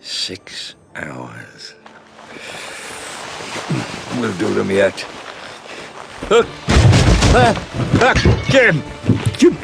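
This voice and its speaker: A man playing a rough, gruff old sea captain with a gravelly voice in an audio drama. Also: on the low side, wildly swooping pitch, very slow, long pauses, over loud music, full character voice. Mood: gasping, desperate. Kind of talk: radio drama acting.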